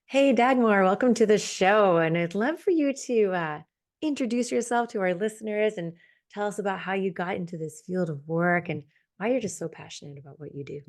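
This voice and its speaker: Podcast host lady, deep voice with some fry, super upbeat and affirming. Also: a little fast. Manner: enthusiastic, affirming. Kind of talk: podcast interview.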